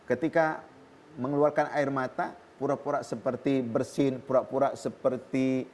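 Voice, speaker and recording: An Indonesian man preaches at an easy pace in a television studio. His voice sits at an average pitch, and faint noise hums behind it. He quotes Arabic scripture and then explains each point. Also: moderate pace. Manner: calm preaching, quoting Arabic scripture and translating it. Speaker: Islamic preacher answering viewer questions. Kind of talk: religious talk on a television program.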